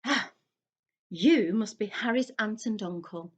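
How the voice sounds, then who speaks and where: A woman reading aloud and voicing an excitable middle-aged wizard father who calls out in frantic, eager bursts. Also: mid-range voice, a little slow, husky. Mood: vague.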